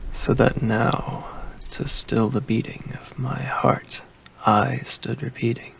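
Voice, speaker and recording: A man reading a poem as an ASMR creator, with a deep, gravelly voice and a slow, hushed delivery that slips into whispers. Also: slight background noise, poor audio. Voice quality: deep, gravelly voice with heavy fry. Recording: faint rain ambience behind the voice. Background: rain ambience.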